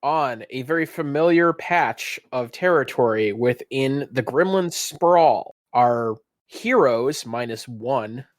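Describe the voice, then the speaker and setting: A man's voice: mid-range voice, lively intonation, slow, few pauses, no room sound. A man running a tabletop role-playing game, narrating in a theatrical, descriptive storytelling manner. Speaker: game master.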